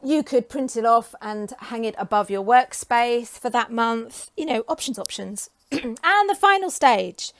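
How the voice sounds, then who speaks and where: A woman in her forties from England talks casually to camera, chatty and enthusiastic with frequent ums, her voice getting hoarse. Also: a little high, animated, measured pace, fairly bright tone. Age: 40s. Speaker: creative coach and vlogger.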